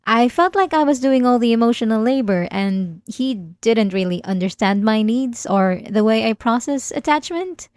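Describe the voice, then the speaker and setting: A woman's voice: on the high side, a little mellow, no echo, studio quality. A woman playing an obsessive, manipulative young therapy patient in an ASMR roleplay, speaking politely and hesitantly with nervous little laughs and defensive insistence. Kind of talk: scripted ASMR roleplay acting.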